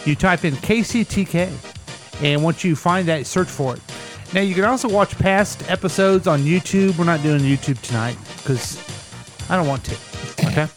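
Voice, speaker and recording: A man hosts a loose, comedic radio chat show, wandering off track and stopping to correct himself. His voice sits a bit high for a man, and faint music hums underneath. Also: expressive, normal speed. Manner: rambling, self-correcting. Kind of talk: casual comedic radio banter.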